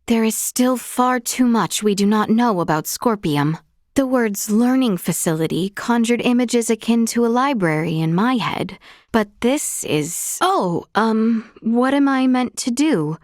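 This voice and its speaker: A woman's voice: on the high side, expressive, unhurried, darkish tone, dry recording, studio quality. A woman voicing a formal, earnest, resolute young noblewoman in a video game, speaking precisely in courtly, old-fashioned phrases. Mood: calm, curious. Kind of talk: video game character dialogue.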